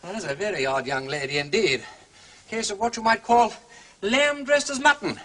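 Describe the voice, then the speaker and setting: An actor playing a breezy, charming gentleman detective, effusive and playful, with an upper-class English accent. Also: high-pitched, normal speed. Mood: breezy, amused.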